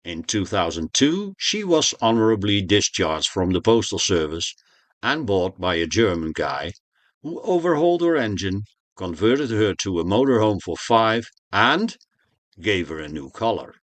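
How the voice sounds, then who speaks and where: A man's voice: fairly low pitch, very animated, unhurried, no echo. A man presenting a narrated tour of a motorhome as a vlogger.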